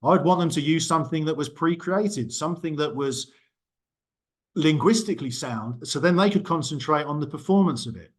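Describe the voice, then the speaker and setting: A man's voice: on the high side, expressive, moderate pace, breathy edge. Chatty lecturer man, lots of ums. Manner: conversational with frequent ums and self-corrections. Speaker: university lecturer. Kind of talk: small group discussion talk.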